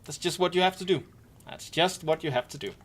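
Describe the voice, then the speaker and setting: A man live-streaming, gently and casually explaining game mechanics to his squad with wry asides. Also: fairly high pitch, brisk. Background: faint keyboard typing and clicks.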